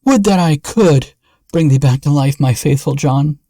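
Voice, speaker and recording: A man's voice: fairly high pitch, normal speed, mellow, no echo, studio quality, voice pushed up. A man voicing a passionate, loyal young king in a fairy tale, speaking with emotional exclamations. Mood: anguished.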